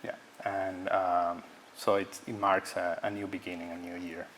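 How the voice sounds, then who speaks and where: Halting Ecuadorian-born man, low voice. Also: level tone, a bit bright, slight background noise, rough recording. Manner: halting, searching for words, many fillers. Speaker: guest artist. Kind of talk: public artist talk. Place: auditorium.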